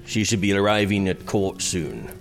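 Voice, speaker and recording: A man's voice: deep voice, lively intonation, normal speed, vocal fry, soft background music. An actor playing a pompous, drunken, self-indulgent prince acting as king in a theatrical, haughty medieval audio drama. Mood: smug.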